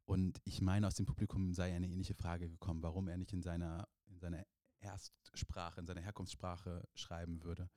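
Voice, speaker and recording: A man interviewing a guest, asking measured questions and sharing anecdotes. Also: low voice, little pitch movement, mellow, dry recording, studio quality.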